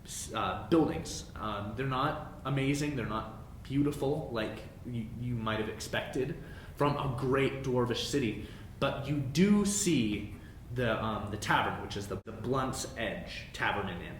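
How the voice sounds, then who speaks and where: A man's voice: measured pace, few pauses. Male game master, echoey room. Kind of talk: casual tabletop game narration. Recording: roomy echo, low rumbling background noise.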